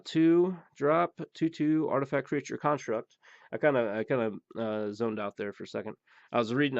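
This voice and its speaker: A man hosting a pack-opening show, rambling through the cards with many fillers and blunt remarks, his voice going hoarse. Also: medium pitch, dry recording. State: losing his voice. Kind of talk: casual card-opening commentary. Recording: dull, dry recording.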